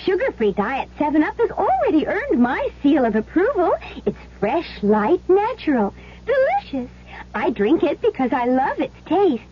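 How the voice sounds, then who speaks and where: A perky, bossy storybook heroine, speaks high and very animatedly. The delivery is emphatic 1970s radio commercial acting on a dull recording. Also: female voice, wildly swooping pitch, moderate pace.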